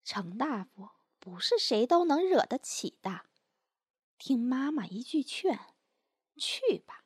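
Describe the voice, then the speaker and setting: A woman's voice: high voice, big pitch swings, slow pace, a little mellow, slightly rough, dry recording, clean studio sound, exaggerated voice. An actress playing a woman of an ancient Chinese household in a period audio drama. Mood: coaxing.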